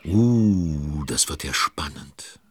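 A playful storyteller with an extremely deep voice and animated, swooping intonation. He narrates a children's audio drama conspiratorially, relishing the suspense. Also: drawn-out words, slight background noise, exaggerated voice. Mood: gleeful suspense. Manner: expressive, conspiratorial.

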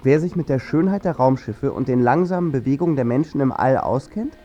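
A man's voice: medium pitch, expressive, fairly quick, mellow, dull audio, lightly acted. An actor playing a man who sceptically questions things in a scripted radio drama, in an inquisitive, rhetorical manner. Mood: questioning, sceptical. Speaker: radio play speaker.

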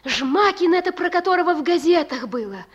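A high-voiced young woman in love in a Russian radio play. She is devoted and stubborn, and speaks in plain colloquial phrases that both plead and insist. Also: lively intonation, a little fast, muffled recording. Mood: tender persuading. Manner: pleading yet resolute, colloquial. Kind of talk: Russian radio drama acting.

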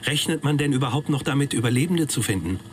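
A man playing a serious, brisk news anchor in a German radio drama, formal and composed. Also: medium pitch, animated, background noise, natural voice.

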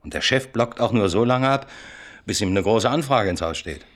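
Gruff senior detective male actor, very low voice, terse and commanding, sardonic edge, radio drama. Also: expressive, normal speed, natural voice. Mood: frustrated, sardonic. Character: gruff, pressured male chief police inspector leading murder inquiry. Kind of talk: German radio crime play dialogue.

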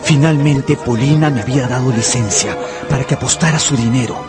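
An actor playing an anxious gambler who narrates his own ruin in a radio novel, brooding and intense, with dramatic pauses. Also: mid-range voice, loud music behind the voice, lightly acted. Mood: brooding, tempted.

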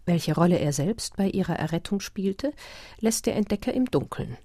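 Female narrator for literary radio drama: deep register, dark tone, measured and composed. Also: animated, husky, no echo, studio quality.

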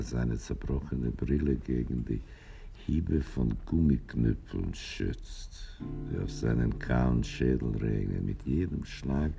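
An actor playing a weary, bitter bookseller who spins tall tales in a radio drama, halting and brooding with dramatic pauses. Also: male voice, dark tone, heavily acted. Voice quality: very deep, gravelly.

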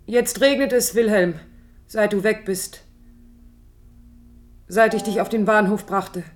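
A woman's voice: mid-range voice, steady tone, slow, soft background music, close to an everyday voice. An actress playing a lonely, pensive young woman who speaks intimately and wistfully to her absent brother in a radio drama. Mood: yearning, sad. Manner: introspective, wistful, lyrical.

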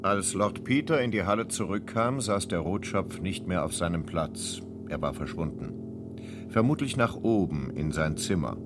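An actor narrating a detective radio play in a measured, scripted storytelling manner. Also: male voice, low voice, lively intonation. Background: guitar music.

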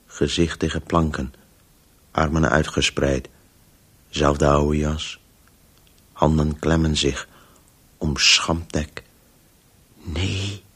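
An actor playing a weary, urgent disembodied voice in a radio play, speaking in breathless, halting fragments with a hushed, husky tone. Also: male voice, very deep voice, full character voice. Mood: urgent, murmuring. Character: disembodied male Voice, obsessively chasing a story, weary and urgent.

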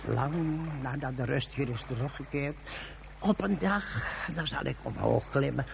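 An actor playing a stubborn ageing hermit in a science fiction radio play, rambling and philosophising in gruff, folksy slang. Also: male voice, medium pitch, measured pace, noisy background. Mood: musing, stubborn. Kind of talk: Dutch science fiction radio drama acting.

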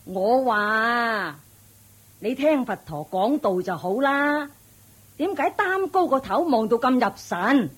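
A haughty old matriarch rules her household in a radio drama. She scolds and sneers, her pitch swinging widely. Her voice is on the high side with a husky edge. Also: expressive, slow, heavily acted. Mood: scornful. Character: haughty, spiteful wealthy old matriarch, domineering mother-in-law. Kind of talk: Cantonese radio drama acting.

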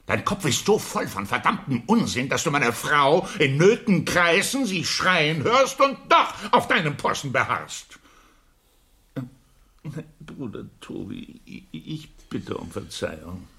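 An actor playing an irascible, rhetorical middle-aged gentleman in a literary radio play. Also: on the high side, big pitch swings, slow pace, gravelly, exaggerated voice. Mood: exasperated.

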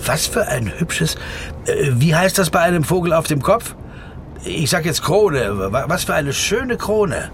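A man's voice: fairly high pitch, big pitch swings, measured pace, a little hiss or hum. An actor playing a pedantic, weary middle-aged man who tells his story in a circumstantial, self-ironic way. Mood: bemused. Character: wordy, pedantic middle-aged male legal counsel, weary and self-doubting. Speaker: narrator and protagonist. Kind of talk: scripted literary radio drama monologue.

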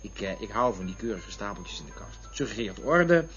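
A man's voice: on the low side, sing-song, moderate pace, a bit bright. Crude joking man, restless, rambling, bitter asides, radio drama, lo-fi sound, faint music. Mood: wistful, musing. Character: restless, crude, joking man brooding over love and women. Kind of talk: radio play acting. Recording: dull lo-fi radio recording with music.

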